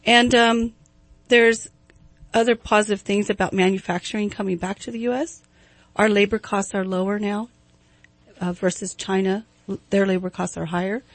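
A soft-spoken woman talks through market news as a guest on morning radio. Her plain, unhurried delivery is dry and close in the studio, with a few ums along the way. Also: medium pitch, fairly even intonation, dry recording. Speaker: guest giving weather and market update. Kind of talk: radio talk chat. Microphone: studio microphone.

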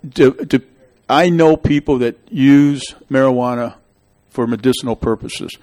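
Middle-aged man, local meeting host, emphatic opinionated rambler, average pitch. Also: measured pace, a little mellow. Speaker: local official hosting a public meeting show. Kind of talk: town meeting discussion, opinionated.

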